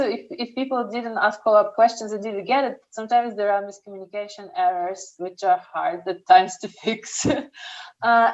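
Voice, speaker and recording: A young woman with an Eastern European accent, a startup founder answering interview questions slowly and carefully, often restarting her sentences. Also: mid-range voice, darkish tone, lo-fi sound. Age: young adult.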